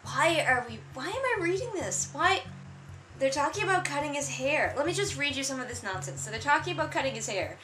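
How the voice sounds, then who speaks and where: Bright, high-voiced woman, sarcastic book critic. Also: very animated, a little fast, reverberant, soft background music. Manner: sarcastic, exasperated, conversational. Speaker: host of a book read-along. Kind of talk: casual comedic book critique commentary.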